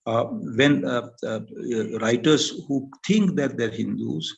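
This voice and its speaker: Older Indian English male panelist, gravelly voice, unhurried and halting delivery, muffled lo-fi recording. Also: medium pitch, animated. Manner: halting, thoughtful, with many pauses and fillers. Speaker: panel speaker. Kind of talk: academic talk.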